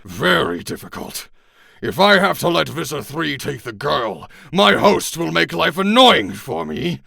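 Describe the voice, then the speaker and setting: A man voicing a cruel, alien-possessed middle-aged man who snarls threats and shouts. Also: fairly high pitch, big pitch swings, a little slow, creaky, no room sound, clean studio sound, pitched above the performer's own voice, exaggerated voice. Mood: cold threatening.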